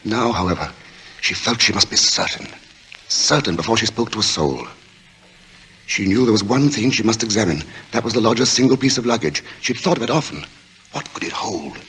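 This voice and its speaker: A man narrating a suspense story in 1940s radio style, grave and deliberate, with dramatic pauses. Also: lowish voice, lively intonation, fairly bright tone, husky, full character voice, poor audio. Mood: suspenseful. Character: grave storyteller of a creeping murder mystery.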